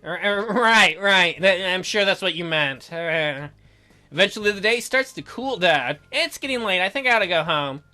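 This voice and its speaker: A bright-voiced man with very animated, swooping intonation reads a visual novel aloud as a let's play host. He is theatrical and jokey, slipping in his own commentary. Faint music plays behind him. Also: sits high, moderate pace.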